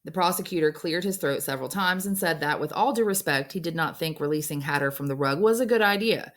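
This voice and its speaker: A woman's voice: low voice, quick pace. A woman narrating a novel aloud as an English teacher would, reading scripted storybook prose.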